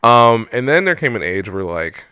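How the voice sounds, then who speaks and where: A man's voice: mid-range voice, big pitch swings, moderate pace, pushed and bright, smooth, no echo. A young man co-hosting a podcast, telling stories from growing up with playful joking asides. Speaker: podcast co-host. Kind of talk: casual chat.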